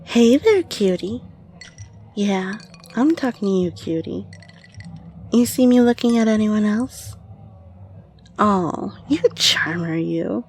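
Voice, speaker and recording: A woman's voice: medium pitch, expressive, slow, pauses often, a little mellow, soft background music. A woman voicing a flirtatious, possessive female fae in a scripted audio roleplay, coy and teasing. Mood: flirty, coy.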